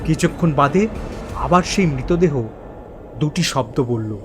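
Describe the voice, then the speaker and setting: A man playing a bewildered narrator in a first-person horror story, speaking theatrically in halting, suspenseful repeated phrases. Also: fairly high pitch, expressive, faint music behind. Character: bewildered man haunted by forgotten childhood memories.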